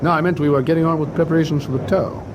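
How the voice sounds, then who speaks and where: An actor playing a composed, businesslike warship captain in a radio war drama, speaking in a measured, formal way. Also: male voice, a little high, fairly even intonation, background noise, natural voice. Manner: measured, dictating signals formally. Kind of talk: full-cast radio war drama acting. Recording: clear outdoor sea ambience behind.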